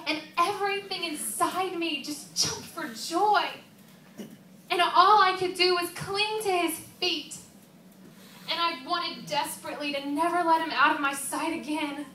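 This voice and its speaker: A woman performs as a devoted female disciple in mourning, telling her story as an emotional stage monologue. Her voice is high and bright, earnest and confiding. It is picked up at a distance in a roomy, echoing space. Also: fairly even intonation. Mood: joyful, fervent. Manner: earnest, emotional, confiding, with pauses and rhetorical questions. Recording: roomy, distant mic, faint background noise.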